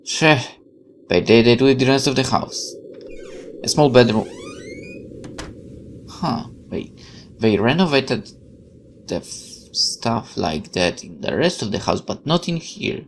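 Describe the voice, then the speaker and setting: Let's play commentator, lively swinging pitch, expressive, reads game text aloud, exclaims and questions. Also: slow pace, long pauses, slight background noise. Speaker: gaming YouTuber.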